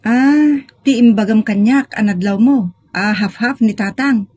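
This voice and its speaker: An actress with a northern Philippine accent playing an inquisitive, protective daughter in an Ilocano comedy drama. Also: female voice, a little high, measured pace, a little mellow, dry recording. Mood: curious, surprised. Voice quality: husky. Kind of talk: Ilocano comedy radio-style drama acting.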